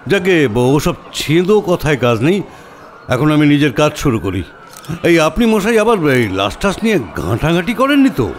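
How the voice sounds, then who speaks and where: An actor playing a pompous, arrogant, portly police inspector in an audio drama, with a booming, blustery voice and haughty delivery. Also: male voice, big pitch swings, a little hiss or hum. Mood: brusque, condescending. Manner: haughty, theatrical, drawn-out questioning.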